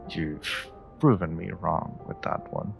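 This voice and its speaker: A man playing a flirty, charming stranger in an intimate romantic audio roleplay, with teasing, drawn-out, murmured lines. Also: low-pitched, creaky, music in the background, exaggerated voice. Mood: playful, flirty.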